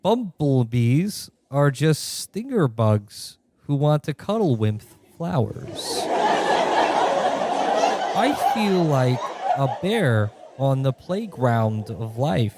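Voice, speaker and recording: A man playing a smug, self-serious poet with a precious, deliberate delivery in a comic radio play. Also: medium pitch, sing-song, noisy background, heavily acted. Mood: precious reciting.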